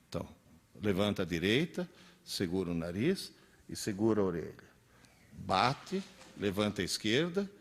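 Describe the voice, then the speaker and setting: An Italian-born man in his sixties, Italian his first language, lectures teachers in a slightly husky voice. His pitch swings widely as he tells stories and fires questions at the audience. He speaks at the pace of a seasoned teacher. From Italy. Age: 60s. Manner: animated, storytelling, quizzes the audience.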